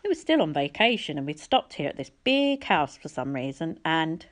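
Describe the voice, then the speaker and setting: A woman's voice: low voice, sing-song, moderate pace. A woman reading a storybook aloud as an audiobook narrator. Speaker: audiobook reader.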